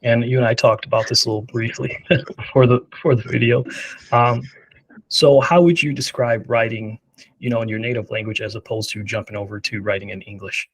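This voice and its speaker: A man's voice: mid-range voice, steady tone, brisk, slightly rough, rough recording. A man hosting an interview, asking questions in a casual way with lots of fillers.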